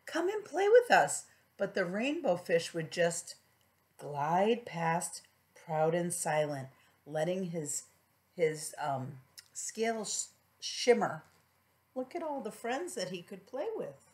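A middle-aged woman reading a children's storybook aloud as a preschool teacher, with gentle questioning asides to young listeners. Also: lowish voice, expressive, takes it slowly.

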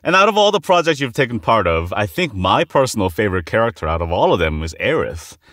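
A man with a low voice hosting a casual interview podcast. His pitch swings widely as he talks, he laughs easily, and he restarts his phrases. Recorded close on a dry mic. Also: very animated, quick pace, no echo. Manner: laughs often, warm praise, restarts phrases. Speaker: podcast host.

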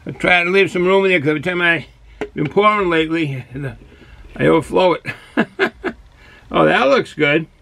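A man's voice: high voice, moderate pace, gravelly, pauses often, a bit bright. A man in his sixties from Boston with a thick Boston accent, chatting casually and rambling a little as he reviews for the camera. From Boston, United States. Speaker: beer reviewer. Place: small cluttered indoor hobby room.

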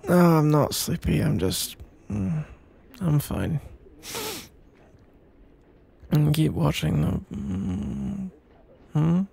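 A man playing a shy, sleepy, smitten young best friend in an intimate ASMR roleplay, stammering and awkward, with a gravelly, breathy voice. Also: fairly high pitch, big pitch swings, darkish tone, full character voice. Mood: drowsy. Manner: halting, stammering, awkward, mumbling.

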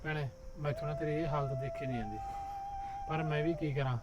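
A man playing a worried, fast-talking brother. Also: fairly high pitch, steady tone, dull audio, loud music behind the voice, lightly acted. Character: worried older brother, trying to comfort.

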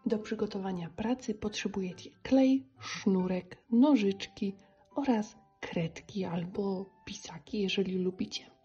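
Unhurried woman with a bright voice, craft tutorial. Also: medium pitch. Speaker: craft instructor for children. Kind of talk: casual craft tutorial voice-over. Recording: slightly dull, music behind.

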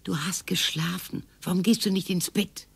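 An actress playing the sharp-tongued, sarcastic wife of a bored retiree in a radio play, with a husky, gravelly voice. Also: female voice, on the low side, fairly even intonation, normal speed, exaggerated voice. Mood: needling. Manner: sarcastic and dismissive.

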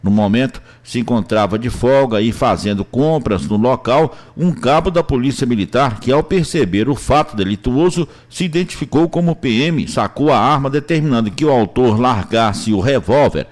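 A man's voice: mid-range voice, animated, normal speed, hardly any pauses, a little mellow. A man with a Brazilian accent reading scripted police news reports as a reporter.

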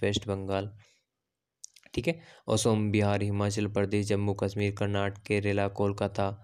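A man's voice: lowish voice, a little mellow, clear, resonant tone. A man hosting his own channel, talking through auction figures shown on screen and reading lists of regions and numbers. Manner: reads slowly and haltingly in English passages; lists circles and figures off slides. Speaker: channel host. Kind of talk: explainer reading documents on screen. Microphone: voice-over over slides.

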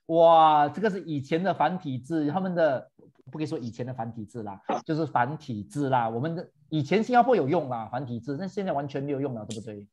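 Man, tour host, fairly high voice, animated, switches languages, cuts in often. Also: fast. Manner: animated, interrupts, switches between English and Mandarin. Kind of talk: bilingual virtual tour chat for seniors.

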